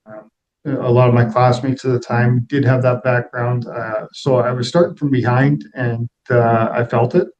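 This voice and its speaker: A man's voice: medium pitch, steady tone, moderate pace, darkish tone, dull audio. A middle-aged man answering interview questions in a reflective, plain-spoken, conversational way. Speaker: interview guest, aviation executive.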